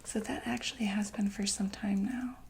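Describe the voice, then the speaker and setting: A woman playing a calm doctor for monsters, asking gentle, patient questions in a soft unvoiced whisper for an ASMR roleplay. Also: mid-range voice, moderate pace, creaky, slight background noise, natural voice.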